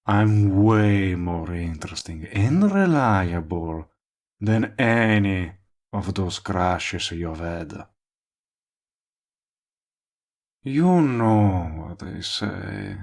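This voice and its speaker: A man's voice: sits low, animated, darkish tone, heavily acted. A man playing a smug, possessive, lovestruck villain in a romantic comedy audio roleplay, talking to the listener in a sardonic, persuasive way. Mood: flirty, boastful. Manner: smooth-talking, sardonic, drawn-out emphatic phrasing. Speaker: voice actor.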